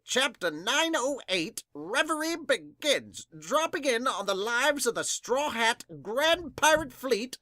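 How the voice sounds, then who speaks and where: Animated manga-reading podcast host. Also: mid-range voice, dry recording. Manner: animated, announces captions in a drawn-out dramatic way. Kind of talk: dramatic manga read-aloud with casual reaction comments.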